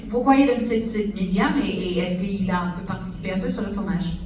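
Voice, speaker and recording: Woman, interviewer-interpreter, quick paraphrasing, fillers, echoey room, faint noise. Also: medium pitch, darkish tone, distant mic.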